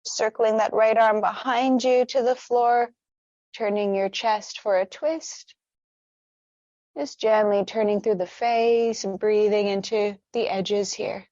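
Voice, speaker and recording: Female meditation guide. Soothing, unhurried delivery, medium pitch, close dry sound, a touch muffled. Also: fairly even intonation, no room sound. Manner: gentle, unhurried, soothing instruction. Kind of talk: guided meditation with gentle stretches.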